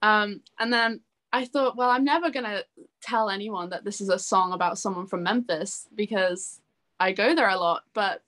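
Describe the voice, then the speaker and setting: A woman's voice: mid-range voice, normal speed, fairly bright tone. A woman in her twenties chatting casually in an interview, effusive and self-deprecating, with a giggly way of talking. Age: 20s. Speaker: singer-songwriter being interviewed.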